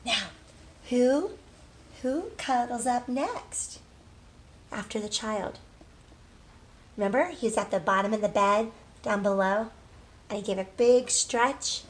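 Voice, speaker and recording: A woman teaching young children in a read-aloud lesson, asking questions, pausing and drawing out key words for emphasis. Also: on the high side, husky. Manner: prompts with questions, pauses, affirms answers, drawn-out emphasis on key words. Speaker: early literacy teacher.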